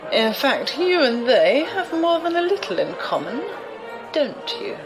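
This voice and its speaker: A woman's voice: high voice, sing-song, unhurried, over music, a crowd in the background, heavily acted. An actress playing a sly, amused, commanding old noblewoman in an audio drama, with refined, teasing, theatrical delivery. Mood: wry, commanding. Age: older.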